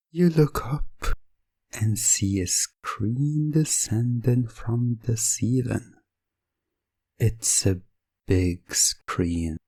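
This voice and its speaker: Very slow, breathy man narrating hypnosis. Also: fairly low pitch, darkish tone, dry recording. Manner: measured, pausing between short phrases.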